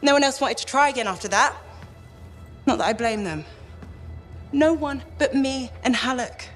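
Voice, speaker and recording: A haunted, weary woman from a video game drama. Her voice sits fairly high and she speaks with measured wistfulness. Faint music runs underneath. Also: animated. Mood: weary, resigned. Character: weary, haunted woman cipher supporting freelancers.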